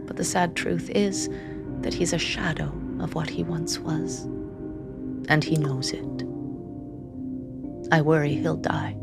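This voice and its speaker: A devoted, anxious sister telling a dark fantasy tale in the first person. The woman's voice sits very low and crackles with vocal fry. She narrates with measured intensity over prominent music. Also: fairly even intonation, heavily acted. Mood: fearful, worried. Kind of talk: dramatic scripted narration.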